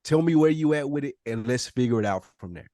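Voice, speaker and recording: A man's voice: mid-range voice, lively intonation, quick pace, dark tone, no echo, studio quality. A man talking casually in a group podcast discussion, blunt and slangy, with easy swearing. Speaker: podcast panelist.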